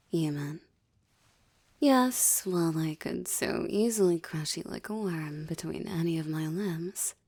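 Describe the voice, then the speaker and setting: A woman voicing a seductive, menacing succubus in an intimate audio roleplay, with formal, ornate phrasing and a teasing, breathy delivery. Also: fairly low pitch, a little mellow, dry recording. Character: seductive, menacing adult female succubus demon, teasing and possessive. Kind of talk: intimate audio roleplay, ASMR-like monologue addressed to listener.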